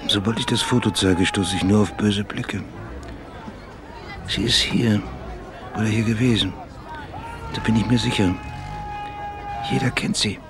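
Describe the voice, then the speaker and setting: A man's voice: fairly low pitch, steady tone, a little slow, pauses often, a crowd in the background, noisy background, close to an everyday voice. An actor playing a persistent, brooding former policeman in a radio drama, speaking tersely and matter-of-factly.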